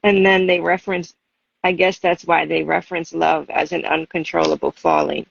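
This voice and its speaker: A woman with a husky, creaky voice speaking expressively and in a measured way, as a poet reading and chatting. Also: deep voice, expressive, dry recording. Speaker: poet and guest reader. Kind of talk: spoken-word poetry reading and casual chat. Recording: dull, lo-fi audio.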